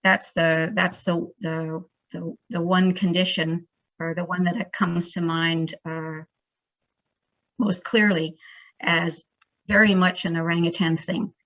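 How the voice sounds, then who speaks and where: A woman researcher answering academic questions informally, haltingly thinking aloud and repeating words as she goes. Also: lowish voice, little pitch movement, fairly bright tone. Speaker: primate researcher, guest speaker. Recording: dull lo-fi sound.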